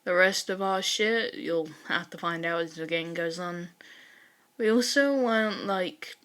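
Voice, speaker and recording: Woman voicing a low, deadpan teenage boy. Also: measured pace. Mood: dry, unbothered. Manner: laid-back, terse, deadpan. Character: dry, unbothered, sardonic teenage boy.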